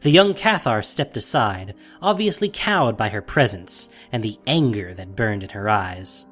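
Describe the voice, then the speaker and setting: A man's voice: fairly low pitch, lively intonation, measured pace, fairly bright tone, faint music behind. A man narrating a fantasy audio drama in a dramatic, scripted storytelling style.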